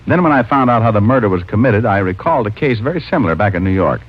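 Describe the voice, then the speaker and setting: An actor playing a breezy, wry private detective who both talks and narrates in a 1950s radio mystery. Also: male voice, on the low side, animated, fast talker, husky. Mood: matter-of-fact. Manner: wry, casual, hesitating with uh. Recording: muffled old radio recording with music.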